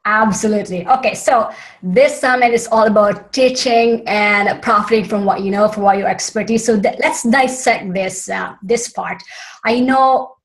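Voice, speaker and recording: A woman's voice: mid-range voice, steady tone, normal speed, rough recording. A woman from the Philippines, English not her first language, hosting an interview and often restarting her sentences to find the right word. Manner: searching for words, frequent restarts. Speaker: interview host. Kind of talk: online summit interview.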